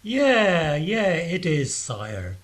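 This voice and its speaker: A man's voice: on the high side, wildly swooping pitch, very slow, a bit bright, full character voice. A man playing a dim-witted, chatty castle guard who rambles and bumbles along. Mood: bumbling.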